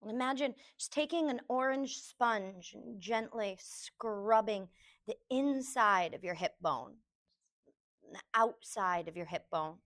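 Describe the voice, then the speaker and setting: A woman yoga teacher leading a guided meditation, giving gentle, unhurried instructions in short phrases with pauses between them. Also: mid-range voice, lively intonation.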